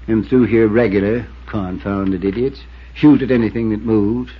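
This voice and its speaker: An actor playing a glum, middle-aged small-town constable with a rural American accent, husky and terse, in a 1950s radio drama. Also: male voice, on the low side, steady tone, a little hiss or hum, close to an everyday voice. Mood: stubborn, grim. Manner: folksy, terse, slow to commit. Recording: muffled 1950s radio recording.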